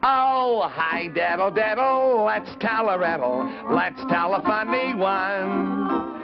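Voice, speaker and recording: Man acting a boy marionette, cowboy kid, very high voice, cheerful, eager, muffled old TV puppet show. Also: lively intonation, takes it slowly, over music, exaggerated voice. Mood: eager, playful. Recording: muffled, noisy vintage TV show recording.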